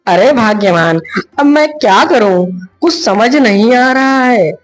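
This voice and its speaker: A woman's voice: fairly high pitch, expressive, a little slow, soft background music. A woman voicing a poor, honest, worried village husband in a children's cartoon story, earnest and plaintive. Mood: despairing.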